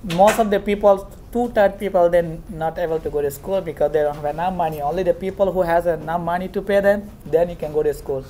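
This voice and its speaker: A man's voice: high voice, quick pace, few pauses, mellow, a little hiss or hum. A young man from Myanmar, a native Rohingya speaker, giving an earnest talk as a guest speaker in a classroom, filmed by a far camera. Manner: earnest, storytelling with hand gestures.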